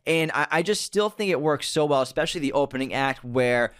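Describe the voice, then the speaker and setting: A man's voice: fairly high pitch, animated, a little fast, a bit bright, clear, resonant tone. A man co-hosting a podcast, giving his opinions in casual discussion. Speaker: podcast co-host.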